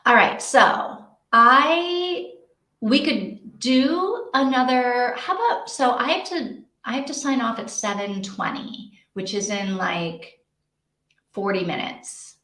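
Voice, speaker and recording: A woman's voice: a little high, expressive, slow pace, bright, forceful tone. A woman hosting a casual livestream, chatting in a rambling way as she thinks aloud. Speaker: host of a live reading sprint stream. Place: indoor room with echo. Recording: echoey untreated room.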